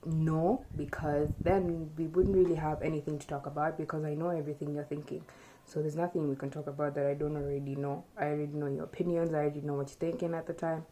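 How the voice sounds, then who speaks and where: A young woman vlogger from Kenya answering tag questions in relaxed, chatty talk, often thinking aloud, recorded by a camera in front of her in a small room. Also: low-pitched, fast, a little hiss or hum, low-quality recording. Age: young adult. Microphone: camera in front at close range.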